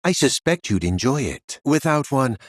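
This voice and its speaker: An actor playing a courteous, devout disciple in a video game, welcoming visitors in a formal, measured way. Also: male voice, medium pitch, big pitch swings, no echo, clean studio sound, lightly acted. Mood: reverent, courteous.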